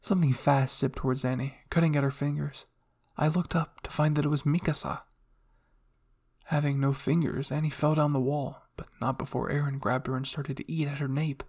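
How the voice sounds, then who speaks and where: A man reading fan fiction aloud as dramatic first-person story narration, moving between hushed and intense delivery. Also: fairly high pitch, little pitch movement, moderate pace, husky. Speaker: audio fan fiction reader.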